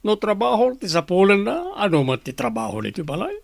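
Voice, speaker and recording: A man's voice: high voice, huge pitch swings, fairly quick, vocal fry, dry recording, clean studio sound, exaggerated voice. A Filipino man playing a blunt, domineering father-in-law in a radio drama. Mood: scolding. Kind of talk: Philippine radio drama acting.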